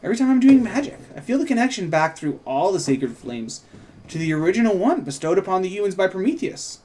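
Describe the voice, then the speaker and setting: Devout teen mage girl, read by a man, fantasy table read, higher register, earnest, wistful. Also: big pitch swings, fast talker, a little mellow. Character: devout, earnest teenage girl who works fire magic.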